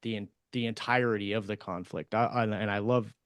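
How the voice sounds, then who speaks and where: A man with a fairly low voice in a dry recording with no room sound. He talks casually as a podcast co-host, working through explanations and sometimes doubling back on his words. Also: fairly even intonation, fairly quick, a bit bright, clean tone, no room sound. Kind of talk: casual discussion of writing craft.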